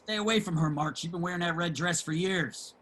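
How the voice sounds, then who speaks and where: A man acting a husky, forceful streetwise homeless guy. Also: a little high, a little fast. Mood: scolding, gossipy. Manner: salty, emphatic, colloquial street talk. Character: streetwise homeless man, generous, opinionated, foul-mouthed. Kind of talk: dramatic table reading of a stage play.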